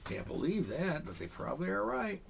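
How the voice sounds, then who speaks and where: A man reading a children's picture book aloud, with chuckling, animated asides to young listeners. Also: sits high, normal speed, creaky, a little hiss or hum. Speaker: storyteller in a Santa persona.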